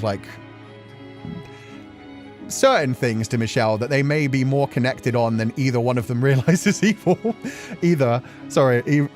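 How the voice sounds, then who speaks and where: A man's voice: mid-range voice, a little mellow. Earnest man musing over background music. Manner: earnest, emotional, rambling, often says "like". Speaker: streamer hosting a game playthrough. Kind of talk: casual reflective commentary on a story game.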